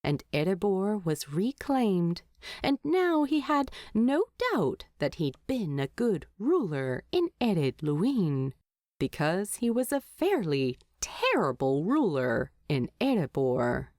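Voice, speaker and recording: A woman narrates fiction in an unhurried, measured way. Her pitch moves freely and animatedly, with deliberate emphasis on key words. The sound is dry and close, free of room reflections. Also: very animated, warm tone, no echo. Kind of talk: scripted storytelling narration.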